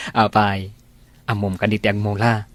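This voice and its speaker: A man's voice: lowish voice, flat delivery, slow. A man acting an easygoing, respectful young countryman in a radio-style drama, with an Ilocano accent. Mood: teasing, tender.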